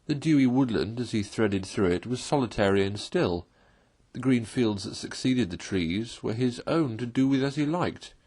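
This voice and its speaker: A man reading a classic children's story aloud as an expressive, literary narrator. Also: medium pitch, normal speed.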